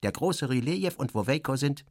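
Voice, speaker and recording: A man's voice: medium pitch, expressive, fairly quick, no echo, studio quality, voice pushed up. A man voicing a stern, sullen Soviet woman interpreter with a clipped, scornful manner in an audiobook satire. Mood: hissing contempt.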